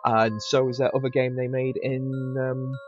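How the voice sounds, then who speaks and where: A man's voice: medium pitch, little pitch movement, a little slow, lo-fi sound, faint music behind. A man hosting a game playthrough, commenting casually with wry, conversational asides. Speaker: host of a gaming channel.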